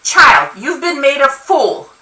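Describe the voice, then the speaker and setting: A woman's voice: very animated, slow, full character voice. A woman voicing an old, hard-of-hearing grandmother in a storybook, insistent and scolding, with a ringing voice. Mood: pitying, chiding. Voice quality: ringing, high-pitched shout. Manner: loud, insistent, curt. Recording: echoey room, distant from the mic.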